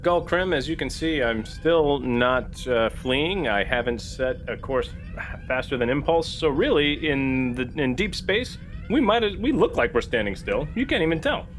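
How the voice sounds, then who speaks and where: A diplomatic starship captain, played by a man in a tabletop game. He talks formally but haltingly, in a medium male pitch, with faint noise behind him. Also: animated, close to an everyday voice. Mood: diplomatic stalling.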